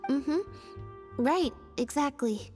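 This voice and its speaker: An actress playing a reserved young woman performer in a video game, with a deadpan, hesitant and terse way of talking. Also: high-pitched, slow, soft background music. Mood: disinterested.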